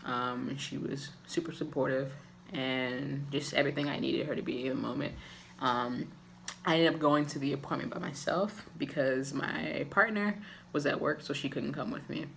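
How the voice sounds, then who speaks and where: Raspy, gravelly-voiced man vlogging. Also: medium pitch, steady tone, moderate pace, a bit bright, slight background noise. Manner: candid and open, many filler ums. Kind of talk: casual personal update to camera.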